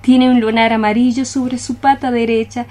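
Woman doing young romantic dreamer bug girl, really high voice, plaintive poetic radio theatre. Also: level tone, moderate pace, darkish tone, close to an everyday voice. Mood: lovesick. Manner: lyrical, plaintive, declamatory. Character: lovesick young maiden cockroach, melancholy, romantic dreamer.

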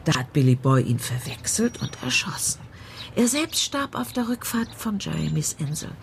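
Eine Schauspielerin spricht in einem Hörspiel die ältere, direkte Ehefrau eines alten Polizeichefs, die hartnäckig nachfragt und stichelt. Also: female voice, mid-range voice, creaky, a little hiss or hum. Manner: needling, insistent questioning. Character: blunt, probing wife of an old police chief. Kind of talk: radio play acting.

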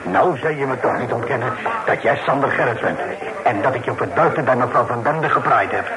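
An actor playing a shrewd, plain-spoken skipper in a radio drama, with a folksy, grumbling manner. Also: male voice, medium pitch, lively intonation, a little fast, a loud crowd, lightly acted. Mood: curious. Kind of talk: old radio drama acting. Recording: noisy old radio play recording.

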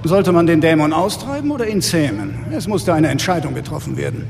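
An actor playing a haughty, fanatical intellectual villain in a radio drama, speaking in a pompous, preaching way. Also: male voice, a little high, expressive, brisk, vocal fry, noisy background. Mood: preaching, righteous. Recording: long reverb, faint music behind.

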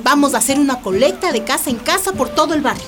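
An actress playing an earthy, outspoken neighbourhood matriarch in a radio drama, folksy, emphatic and decisive. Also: female voice, high voice, lively intonation, fast talker. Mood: rallying, decisive. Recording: music playing behind.

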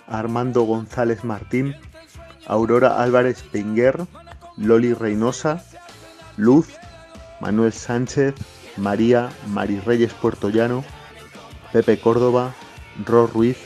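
A man's voice: mid-range voice, dark tone. A man reading scripted credits as an announcer. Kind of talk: scripted narration. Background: guitar music.

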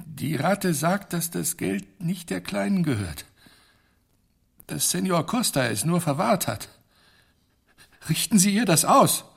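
A man's voice: medium pitch, gravelly, husky, heavily acted. A man playing a frightened, defensive lawyer in a German crime radio drama, nervous and agitated. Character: frightened, agitated male lawyer under threat.

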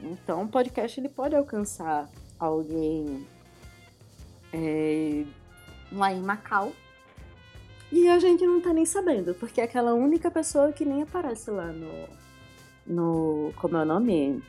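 A woman podcast host with a mid-range voice, chatting and drifting into fillers as she hunts for the right word. Faint music runs quietly underneath. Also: mid-range voice, big pitch swings, breathy edge. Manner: conversational, searches for words, drawn-out fillers. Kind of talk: casual podcast chat.

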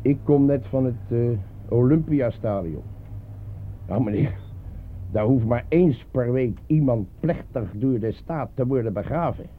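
A man's voice: mid-range voice, measured pace, natural voice. A man playing a chatty, grumbling, resigned working-class taxi driver in a radio play. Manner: garrulous, colloquial, shrugging. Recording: narrow-band old radio play, faint noise.

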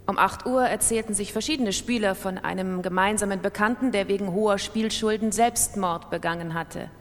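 Woman reading in a reverberant space. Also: normal speed. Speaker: reader in a radio drama. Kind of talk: scripted literary reading of first-person accounts. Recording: long reverb, faint rumbling noise.

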